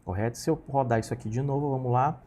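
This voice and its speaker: Patient teacher in his thirties, mellow voice. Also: mid-range voice, fast, slight background noise. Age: 30s. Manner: patient, talks through each step with frequent "tá?" and "ok?". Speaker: programming teacher. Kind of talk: screen-recorded coding lesson.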